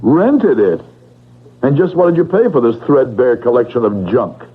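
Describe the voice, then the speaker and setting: Gruff, irascible old man, wildly swooping voice. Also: medium pitch, big pitch swings, normal speed. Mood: exasperated. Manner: grumbling, curt, barking. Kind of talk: old-time radio drama acting. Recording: muffled, noisy 1970s radio recording.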